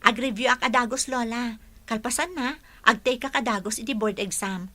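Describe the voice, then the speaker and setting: Bright, hopeful young student teacher, played by a woman. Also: on the high side, fairly even intonation, moderate pace. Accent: Ilocano. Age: young adult. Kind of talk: Ilocano radio drama acting.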